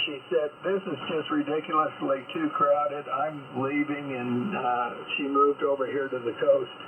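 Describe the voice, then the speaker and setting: Male ham operator, casual rambling chat, tinny radio line with hiss. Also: medium pitch. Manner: rambling, many fillers.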